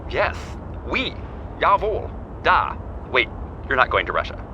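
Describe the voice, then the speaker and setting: A man's voice: fairly high pitch, huge pitch swings, a little slow. A teasing grown son in an audio drama. His voice swoops playfully through a dull, noisy phone line. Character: cheerful, teasing adult son on a phone call. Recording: dull phone-call sound, clear noise.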